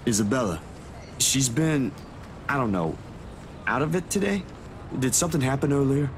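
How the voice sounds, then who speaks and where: A man's voice: medium pitch, animated, normal speed, close to an everyday voice. A man playing an earnest, protective and stubborn young man in a visual novel game. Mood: concerned, insistent. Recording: clear background noise.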